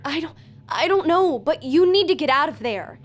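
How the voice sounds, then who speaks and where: A woman's voice: high-pitched, expressive, normal speed. A woman playing a worried, insistent young woman in an audio drama, speaking with urgent, emotionally tense questioning. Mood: pleading alarm. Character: worried, insistent young woman on a tense phone call, narrating her story. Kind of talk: audio drama acting with first-person narration.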